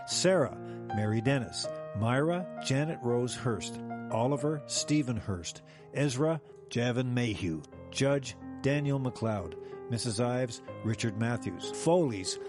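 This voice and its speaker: A man's voice: medium pitch, slow. Male credits announcer over guitar music. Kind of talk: scripted credits reading.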